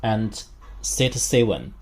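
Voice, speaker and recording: A man who is not a native English speaker, giving a step-by-step product demonstration in short, halting phrases. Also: on the low side, big pitch swings, a little mellow.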